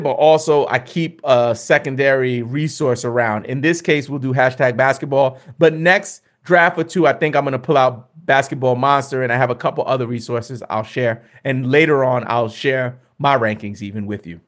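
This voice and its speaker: A young man, slightly hoarse, chatting casually with viewers as he hosts a fantasy basketball mock draft, often repeating himself. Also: mid-range voice, moderate pace.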